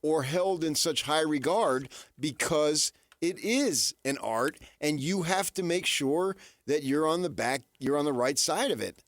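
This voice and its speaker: A man hosting sports talk radio, casually musing in halting, repeated phrases for emphasis. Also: a little high, lively intonation, no room sound.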